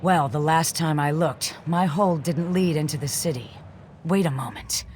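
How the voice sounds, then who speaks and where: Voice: mid-range voice, moderate pace, darkish tone, close to an everyday voice. An actor voicing a tough, threatening smuggler captain in a fantasy video game, faint noise behind. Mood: gruff. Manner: threatening, then grudgingly cooperative. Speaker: game character.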